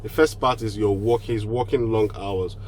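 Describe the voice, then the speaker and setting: A man's voice: fairly low pitch, steady tone, moderate pace, a little mellow, slight background noise, rough recording. A man from Nigeria with a cold, giving earnest, casual advice on online business straight to the camera and often restarting his phrases. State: has a cold.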